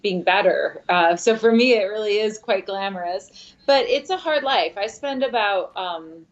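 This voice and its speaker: A woman who grew up speaking Spanish first, answering interview questions in a thoughtful, measured way with frequent fillers. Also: medium pitch. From Michigan, USA. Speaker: guest, opera singer. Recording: dull lo-fi sound.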